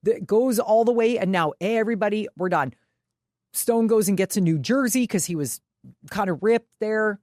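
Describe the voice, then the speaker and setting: Female podcast co-host, mid-pitched, close dry sound, casual and emphatic, swears freely. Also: normal speed, a little mellow, dry recording. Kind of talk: casual sports chat.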